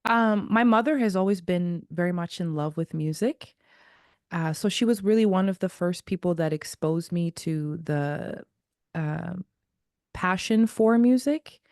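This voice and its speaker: A woman in her thirties from Zimbabwe speaks with a slightly low voice, recorded close and dry with no room around her. She tells her story as a guest jazz singer in a reflective, unhurried way. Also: a little mellow, dry recording. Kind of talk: casual interview.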